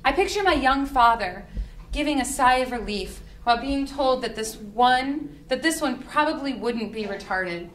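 A young woman reads a comic essay to a live audience in a high, very lively voice. Her delivery is wry and performed, and the room adds clear echo. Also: moderate pace. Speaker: reader at a book reading. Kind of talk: reading humorous essay aloud to live audience. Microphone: podium microphone on a stand. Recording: roomy live event with faint noise.

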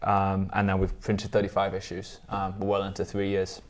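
A man's voice: sits low, completely flat delivery, normal speed. A man in his thirties, a newspaper editor, talking thoughtfully as a guest in a TV studio interview, with many ums and restarts. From Switzerland. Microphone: lapel.

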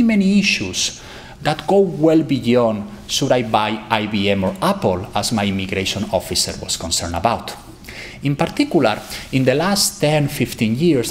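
Animated man at a podium. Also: medium pitch, slight background noise. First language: Spanish. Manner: animated, gesturing, emphatic. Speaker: lecturer. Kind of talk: academic conference talk. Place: lecture hall, at a podium. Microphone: podium microphone.

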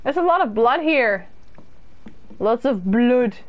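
A young woman from the UK talks slowly and easily as she streams a game from a small room. Her voice sits a little high, and she is chatty and self-deprecating as she answers her viewers' comments. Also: lively intonation, highs cut off, noisy background.

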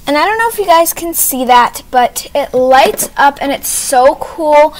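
A woman's voice: sits high, animated, unhurried, rough recording. A young female vlogger chatting casually in a rambling, self-correcting way as she hosts a home tour video. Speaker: host of a doll video channel. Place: small home playroom, indoors. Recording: noticeable background noise, amateur camera audio.

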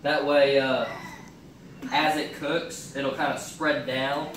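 A man's voice: mid-range voice, flat delivery, slow pace, fairly bright tone. A young man casually talking through his meal prep at home, thinking aloud with lots of fillers. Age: young adult. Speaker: fitness cooking vlogger. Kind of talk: casual cooking demo. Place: home kitchen, indoors. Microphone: far camera on a stand. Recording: echoey kitchen, far-off camera mic, noisy.

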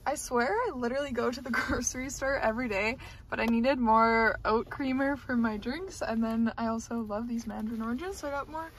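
A woman's voice: fairly high pitch, a little fast. A woman, chatting informally to camera like a student vlogger. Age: 20s. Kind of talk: casual chat to camera, daily vlog. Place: parked car and home kitchen. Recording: background noise in car and kitchen.

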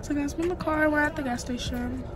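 Young woman vlogging over loud music. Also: high-pitched, steady tone, fast. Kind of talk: casual vlog chat. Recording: music behind the voice.

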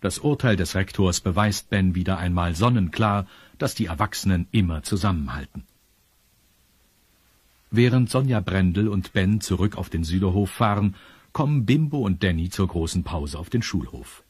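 A man with a husky voice reading scripted narration for a children's audio story. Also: low-pitched, animated, moderate pace, darkish tone, no echo. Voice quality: husky, raspy. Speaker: narrator of a children's radio play.

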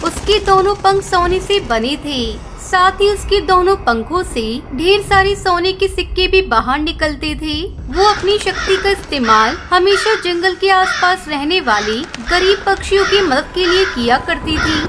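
A woman narrator reads a scripted children's tale in an extremely high voice. There is clear noise and ambience behind the voice. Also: expressive, unhurried, few pauses.

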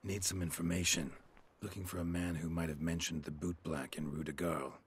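An actor playing a gruff, middle-aged monster hunter in a video game, with a clipped, blunt, deadpan delivery. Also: male voice, deep voice, fairly quick, full character voice. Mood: curt, businesslike. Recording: music playing behind.

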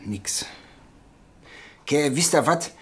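An actor playing a touchy, evasive, worn-out investigator in a German audio drama, speaking in clipped, defensive phrases. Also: male voice, fairly high pitch, lively intonation, takes it slowly, reverberant, noisy background. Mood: irritable, evasive.

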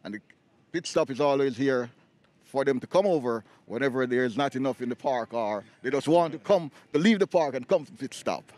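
A man's voice: medium pitch, lively intonation, brisk, darkish tone. A middle-aged man, a restaurant owner, answering interview questions on a sidewalk while hesitating and searching for words, into a handheld mic. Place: outdoor sidewalk by shops. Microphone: handheld mic held by interviewer.

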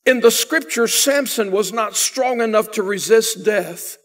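High-voiced older pastor preaching emphatically. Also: male voice, a little slow. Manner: emphatic preaching, swelling louder on key phrases. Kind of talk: sermon. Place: church sanctuary, indoors.

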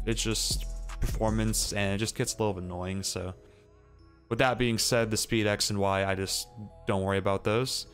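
A young Australian man, a gaming streamer, explains his settings one by one in a relaxed, casual way, his voice lifting on each item he names. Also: on the low side. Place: small bedroom. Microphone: boom mic on arm near face.

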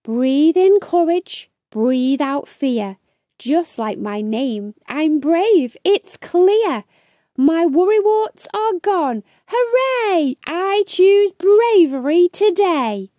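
A woman's voice: extremely high pitch, animated, extremely slow, dark tone, dry recording, clean studio sound, pitched above the performer's own voice, full character voice. A woman voicing an anxious young male beaver in a children's storybook, expressively fretting and chanting to himself for courage. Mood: proud, relieved. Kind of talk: children's storybook read-aloud.

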